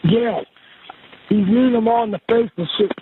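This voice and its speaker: A man as a shaken, agitated bystander calling emergency services, halting and pleading over a phone line. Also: sits high, slight background noise, lightly acted. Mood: alarmed, pleading.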